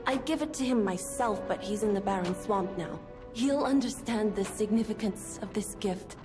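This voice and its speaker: A woman voicing a gentle, earnest, hesitant young woman in a video game. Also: medium pitch, moderate pace, long reverb tail, over music, natural voice. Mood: shyly hopeful. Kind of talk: scripted game drama.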